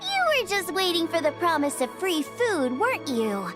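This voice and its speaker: A woman voicing a small, excitable, childlike fairy companion with a squeaky voice in a video game. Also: normal speed, over music, exaggerated voice. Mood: teasing. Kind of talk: English video game voice acting.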